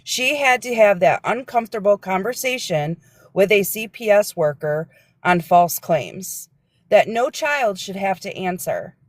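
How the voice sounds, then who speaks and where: A woman's voice: mid-range voice, expressive, a little slow, no echo. A woman, a guest on a livestream, speaking earnestly and lecturing, sometimes searching for her words. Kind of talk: casual livestream chat.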